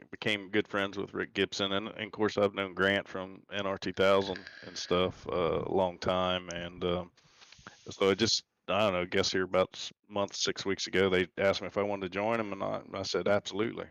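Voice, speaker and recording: Man in his fifties, deep gravelly voice, dry close sound, hesitant polite answers. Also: steady tone, moderate pace, fairly bright tone, no room sound, low-quality recording. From Central Florida, US. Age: 50s. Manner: hesitant, polite, many fillers. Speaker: sim racing driver. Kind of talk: casual post-race interview.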